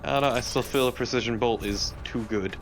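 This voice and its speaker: Man, medium pitch, casual gaming chat, co-commentator, noticeably noisy background. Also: brisk. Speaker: co-commentator on a let's play. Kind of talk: casual video game chat. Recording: noticeable background noise, game sounds.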